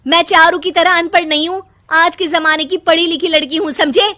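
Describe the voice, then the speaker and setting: A woman voicing a haughty, educated young sister-in-law in a cartoon story, with a sharp, condescending scolding manner. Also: extremely high pitch, steady tone, moderate pace, pitched above the performer's own voice. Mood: angry, boastful.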